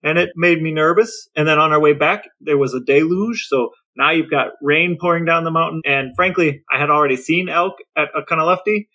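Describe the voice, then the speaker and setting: A man's voice: on the high side, steady tone, brisk, hardly any pauses, bright, forceful tone. A man chatting casually as a podcast host, telling stories in a conversational way.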